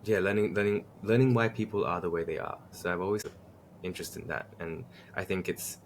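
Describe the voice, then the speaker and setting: A man's voice: low voice, fairly quick, slight background noise. A man from Tasmania, a podcast guest chatting casually and reflectively about himself. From Tasmania, Australia.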